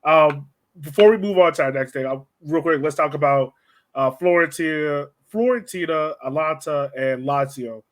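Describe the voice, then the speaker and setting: A man's voice: on the high side, normal speed. A man hosting a casual soccer podcast, chatting conversationally and putting questions to a co-speaker. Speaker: podcast host. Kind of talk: casual chat, soccer discussion.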